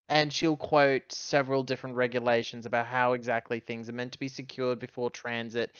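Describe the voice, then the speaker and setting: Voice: medium pitch, dry recording. Assertive tabletop player, dull dry recording. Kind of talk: in-character roleplay and casual chat.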